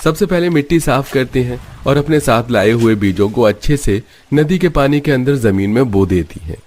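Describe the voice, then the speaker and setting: A man's voice: medium pitch, expressive, moderate pace, a little mellow. A man reading scripted narration for a cartoon story.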